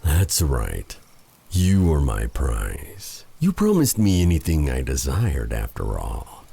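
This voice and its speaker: A man playing a vengeful, silky dark sorcerer in a fantasy ASMR roleplay, taunting and theatrical, with breathy dips toward a whisper. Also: very deep voice, wildly swooping pitch, full character voice. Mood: possessive, threatening. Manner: taunting, theatrical, drawn-out delivery with purred endearments.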